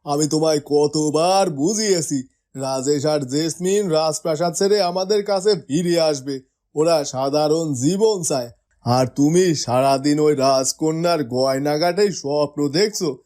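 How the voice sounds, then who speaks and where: A man's voice: a little high, unhurried, warm tone, lightly acted. A man voicing a stern, principled middle-aged village headman in a fairytale cartoon. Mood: stern, reproachful.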